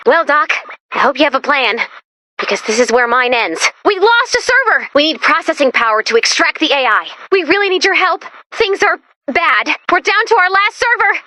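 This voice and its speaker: A woman voicing a perky, quirky young female robot sidekick in a video game, chatty and expressive with an anxious, earnest streak. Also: high-pitched, dry recording, full character voice. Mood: anxious, awkward, then urgent, excited. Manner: expressive, quippy, nervously chatty. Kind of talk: video game character voice lines.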